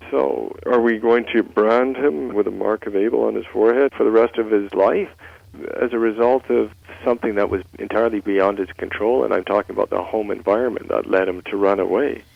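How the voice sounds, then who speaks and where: A man's voice: fairly low pitch, gravelly, no room sound. A man commenting as a lawyer in an interview, speaking emphatically and rhetorically. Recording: dull, lo-fi interview sound.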